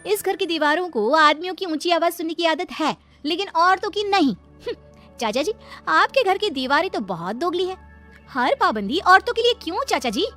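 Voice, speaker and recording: A woman's voice: very high voice, expressive, moderate pace, fairly bright tone, faint music behind, voice pushed up. A woman voicing an outspoken, indignant young city-bred daughter-in-law in a cartoon moral story. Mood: defiant.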